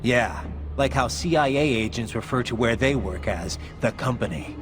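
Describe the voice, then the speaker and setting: An actor playing a grim, sardonic, battle-haunted cyborg soldier in a video game, terse and gravelly. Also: male voice, medium pitch, moderate pace, over music, close to an everyday voice. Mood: terse, determined. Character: brooding male cyborg soldier, tough, sardonic, haunted by violent past. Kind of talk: video game cutscene acting.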